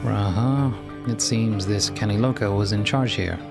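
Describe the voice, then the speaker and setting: A man's voice: lowish voice, unhurried, creaky. A man playing through a video game, reading dialogue aloud as a streamer with casual asides. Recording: music playing behind.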